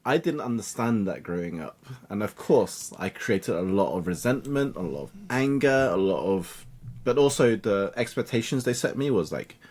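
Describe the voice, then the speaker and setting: A man whose first language is Cantonese talks through his upbringing as a podcast guest. His voice sits fairly low, and he slips into lively impressions of the people he quotes. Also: normal speed. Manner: animated, acts out quoted voices of family and peers. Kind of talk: conversational interview storytelling.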